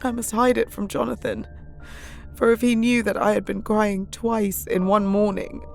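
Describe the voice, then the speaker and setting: A woman's voice: medium pitch, level tone, normal speed, creaky, darkish tone, faint music behind, lightly acted. An actress playing an anxious, devoted young Victorian wife, reading her journal in a wistful, emotional way for an audio drama. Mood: anxious, sorrowful.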